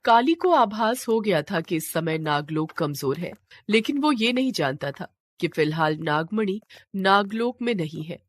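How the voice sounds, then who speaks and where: A woman's voice: medium pitch, expressive, measured pace, dry recording. A woman narrating a scripted mythological tale in a dramatic storytelling style.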